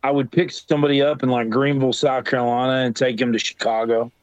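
A man's voice: medium pitch, little pitch movement, quick pace, dry recording. A man co-hosting a podcast over a remote call, laid-back and jokey in casual chat. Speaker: podcast co-host. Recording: dull lo-fi call audio.

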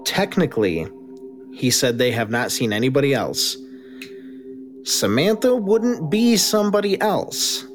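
A man's voice: medium pitch, expressive, a little slow, soft background music. A man running a tabletop game as game master, laid-back, calling for dice rolls and narrating.

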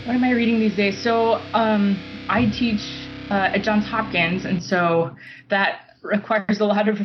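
A woman's voice: mid-range voice, steady tone, a little slow, over music. An American woman from Utah, a writer and teacher, talking casually as an interview guest. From Utah, United States. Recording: dull lo-fi line, faint background noise.

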